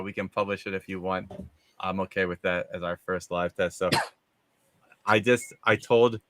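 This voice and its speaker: A man's voice: fairly low pitch, pushed and bright, no room sound. A man hosting a movie discussion show on a video call, chatting casually. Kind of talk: casual chat.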